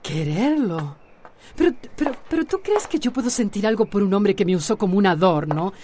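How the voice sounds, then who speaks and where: A woman's voice: mid-range voice, big pitch swings, normal speed, noisy background, natural voice. Actress as emotive, independent woman with a wounded past. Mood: anguished, bitter anger. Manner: emphatic, emotive, hesitant repetitions. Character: bold independent woman haunted by an abusive marriage. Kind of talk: radio drama acting.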